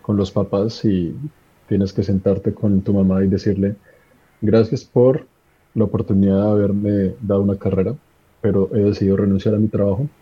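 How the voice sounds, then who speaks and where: A man in his thirties with a Bogotá Colombian accent, a radio guest who tells his story in a relaxed way and slows for emphasis. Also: lowish voice, slightly rough. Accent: Bogotá Colombian Spanish. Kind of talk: radio interview. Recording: dull lo-fi line.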